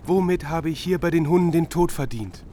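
An actor playing a doomed, betrayed prince in a German radio drama, with a plaintive delivery. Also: male voice, on the high side, a little fast, close to an everyday voice. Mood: reproachful. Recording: loud noise behind the voice.

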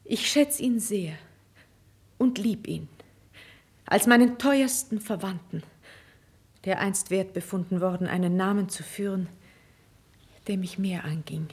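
An actress playing a dignified, defiant queen in a classical radio drama, proud and wounded, speaking in a stately, measured, declamatory manner. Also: a little high, close to an everyday voice. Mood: proud, tender candour. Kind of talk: 1950s German classical radio drama acting.

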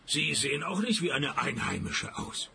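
An actor playing a dry, sarcastic private detective in a radio play, speaking in a deadpan, ironic way. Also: male voice, mid-range voice, normal speed, creaky, a crowd in the background. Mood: dry curiosity. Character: dry, sarcastic male private detective, unimpressed and quick-witted. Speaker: lead detective and narrator. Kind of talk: radio play dialogue and first-person narration.

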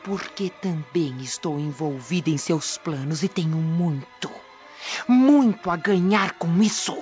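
A cunning young servant woman, played by an actress, talks in a cool, measured, probing way. She takes her time over each line. The radio-drama audio is slightly dull, with soft music behind. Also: fairly low pitch, close to an everyday voice. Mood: calculating. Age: young adult. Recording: slightly muffled with background music.

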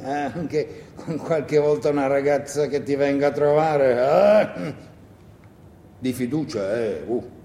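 A man's voice: medium pitch, a little mellow, natural voice. An actor playing a rambling, coaxing country man in a theatrical radio monologue, hesitating and repeating himself as he urges someone on. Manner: hesitant, repetitive, coaxing, full of asides. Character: wheedling, rambling country man urging a young partisan to hide. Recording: echoey hall, long reverb, faint noise.

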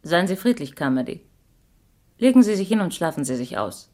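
A woman's voice: on the low side, big pitch swings, normal speed, a little mellow. An actress playing a cool, hard young gunwoman in a noir radio drama, commanding and teasing. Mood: cool, threatening.

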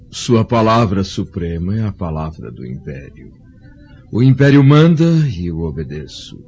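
A man's voice: low-pitched, warm tone. An actor playing a dignified, loyal, aging Roman senator and caring father in a radio drama, solemn and courtly. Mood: solemn, obedient. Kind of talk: formal radio drama acting. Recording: dull lo-fi recording, music behind.

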